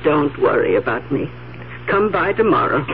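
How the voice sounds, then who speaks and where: An actress playing an anxious, indignant elderly aunt in a radio drama, halting and repeating her words as she goes. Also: low voice, sing-song. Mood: fretful. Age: older. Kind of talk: 1970s radio drama acting. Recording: muffled, faintly hissy vintage radio recording.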